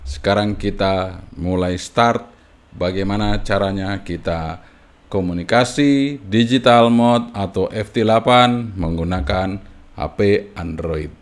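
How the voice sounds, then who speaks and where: A man gives a casual hands-on tutorial as a hobbyist presenter, explaining piece by piece and often tagging phrases with a short confirming word. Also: on the low side. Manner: halting, piecemeal explaining with frequent "ya" tags.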